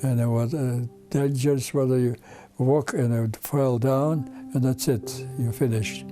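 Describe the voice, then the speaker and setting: A man in his nineties with a Ukrainian accent, recalling his past in halting, repetitive broken English. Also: mid-range voice, fairly even intonation, warm tone. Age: over 90. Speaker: interviewee, Holocaust survivor. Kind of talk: personal recollection. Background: instrumental music.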